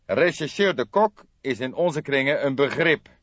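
A man voicing a violent gangster in an audiobook, speaking with emphatic, deliberate menace and indignation. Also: medium pitch, animated, a bit bright, dry recording, highs cut off, pitched above the performer's own voice. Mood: menacing. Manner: agitated, emphatic, deliberate, threatening. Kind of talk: dramatised audiobook character reading.

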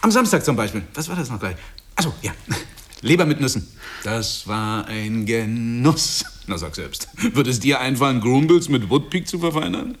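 A man's voice: mid-range voice, expressive, moderate pace, close to an everyday voice. An actor playing a self-satisfied, patronizing husband and proud father in a German radio play, preachy and emphatic. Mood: gushing, smug. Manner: emphatic, preachy, swinging between gushing and confiding.

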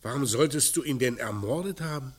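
An actor playing a gruff, commanding police inspector in a radio crime drama, curt and sardonic while needling a suspect. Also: male voice, mid-range voice, a little fast, slight background noise, natural voice. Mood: sardonic, probing. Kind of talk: German radio play acting.

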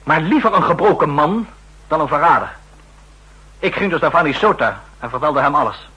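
A man's voice: fairly high pitch, expressive, normal speed, pushed and bright, breathy edge, heavily acted, low-quality recording. An actor playing an ambitious, loyal young assistant who pleads his case earnestly, in a 1960s radio drama. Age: young adult. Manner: earnest, insistent.

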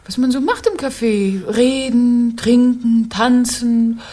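A guarded woman tending a patient in a radio crime drama. Her voice is fairly high with a husky, breathy edge. She speaks politely but briskly and evasively. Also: mellow.

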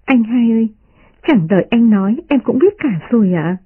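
A sharp-tongued young noblewoman, voiced by a woman reader, teases in a high, lilting voice. Her husky tone is heard through a thin, muffled radio line. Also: lively intonation, moderate pace. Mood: teasing. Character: young noblewoman, witty and teasing.

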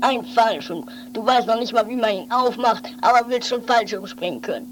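A woman's voice: fairly high pitch, moderate pace, background noise, lightly acted. A woman playing a weary, bossy but protective guardian in an improvised radio play, grumpy and dismissive. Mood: sarcastic.

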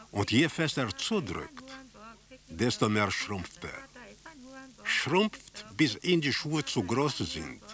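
A man playing a detached observer in a radio drama, narrating surreal scenes in a measured, deliberate way. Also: fairly low pitch, a bit bright, heavily acted.

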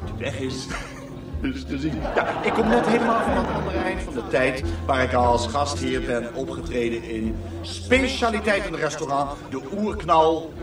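A man playing an oily, grandiose showman, hosting a doomsday dinner show with drawn-out, jokey patter in a radio play. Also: fairly high pitch, close to an everyday voice. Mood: showy, jovial. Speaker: master of ceremonies. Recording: echoey hall, distant mic, loud music, crowd.

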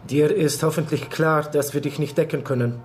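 An actor playing a sober, cautioning activist in a German radio drama, with a dry, measured manner. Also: male voice, a little high, fairly even intonation, a little mellow, a little hiss or hum, close to an everyday voice. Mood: warning.

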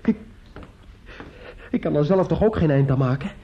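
An actor in a radio play playing a shaken young man at his wits' end, talking in a halting, agitated, repetitive way. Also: fairly high pitch, lively intonation. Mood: distraught. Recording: dull, noisy old radio play recording.

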